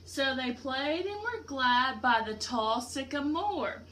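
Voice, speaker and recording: A woman's voice: high-pitched, very animated, takes it slowly, a bit bright, a little hiss or hum. A woman teacher doing an interactive picture-book read-aloud for a class, explaining simply and putting questions to pupils.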